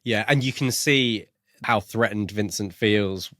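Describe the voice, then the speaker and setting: A man with a lowish voice speaks as a podcast co-host, recorded very dry. He talks about fiction casually but in a measured, reflective manner, laying out his thoughts step by step. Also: sharp tone, dry recording. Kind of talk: casual book discussion.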